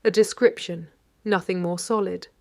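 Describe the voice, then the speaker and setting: An actress with a British accent playing a shrewd 1930s London lady detective, narrating in a measured, wry and precise way. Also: on the low side, steady tone, mellow, dry recording, studio quality, lightly acted. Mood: wry, probing. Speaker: narrator and lead character. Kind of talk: first-person detective audio drama narration.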